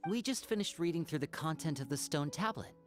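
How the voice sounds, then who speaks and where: A voice actor playing a curious young performer who muses in a reflective way, in a video game. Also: female voice, deep voice, animated, brisk, a little mellow, soft background music. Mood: musing.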